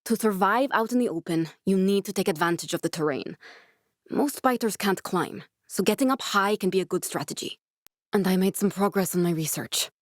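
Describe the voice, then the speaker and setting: A woman's voice: mid-range voice, moderate pace, no room sound, clean studio sound, lightly acted. Actress as an earnest, guarded woman scientist. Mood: calm, instructive. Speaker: video game voice actress. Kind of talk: video game dialogue.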